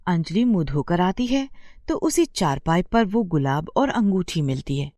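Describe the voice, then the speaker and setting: Animated woman storyteller with mellow tone. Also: fairly low pitch, a little slow, dry recording, clean studio sound. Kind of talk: scripted bedtime story narration.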